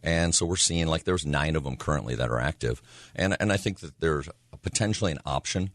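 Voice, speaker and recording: A man with a hoarse, raspy voice, talking casually as a real estate agent on a radio show, rambling with lots of fillers. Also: very deep voice, animated, a little fast, no echo, studio quality. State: hoarse from yelling.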